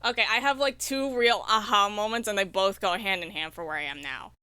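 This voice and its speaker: A young woman around twenty chatting casually as an interview guest, telling stories in an animated, slangy way with dramatic emphasis. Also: mid-range voice, fairly quick, clean tone, no echo. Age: late teens to about twenty. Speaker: podcast guest, indie visual novel developer. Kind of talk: casual enthusiastic interview chat.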